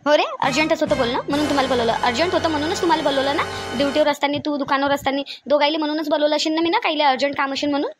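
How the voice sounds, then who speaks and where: A woman's voice: high voice, steady tone, racing, over music, close to an everyday voice. A woman playing a stern, bossy mother-in-law in a family drama, scolding insistently in a Varhadi Marathi accent. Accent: Varhadi Marathi of Vidarbha. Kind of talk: amateur family comedy-drama dialogue.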